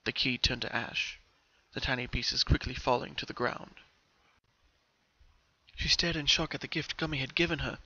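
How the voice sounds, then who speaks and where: A man's voice: medium pitch, moderate pace, pauses often, bright, forceful tone, lo-fi sound. A man narrating a story from a script as a dramatic reading.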